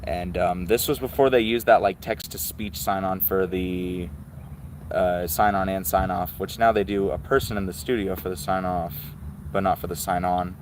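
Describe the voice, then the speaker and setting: A man's voice: sits low, fairly even intonation, moderate pace, slight background noise. A man chatting casually and hesitantly as he hosts, rambling through commentary on recordings as a radio hobbyist. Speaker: host, shortwave radio hobbyist.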